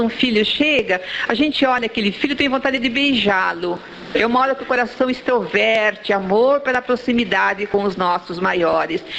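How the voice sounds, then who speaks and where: A woman's voice: a little high, gravelly, fairly bright tone. A Brazilian woman giving a religious talk in Brazilian Portuguese, explaining in a didactic way and checking in with rhetorical questions. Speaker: spiritist lecturer. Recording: dull lo-fi audio, faint background noise.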